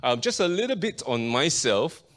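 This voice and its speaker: A man's voice: fairly high pitch, big pitch swings, moderate pace, a bit bright. A man from Singapore with a Singaporean accent, preaching as a guest speaker, opening in fervent prayer and reading scripture aloud. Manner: earnest, fervent praying with many repeated invocations of the Lord.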